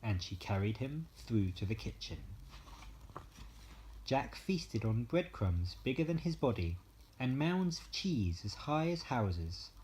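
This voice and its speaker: A man narrating a bedtime story as an expressive storyteller. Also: medium pitch, slow pace, a little hiss or hum, rough recording.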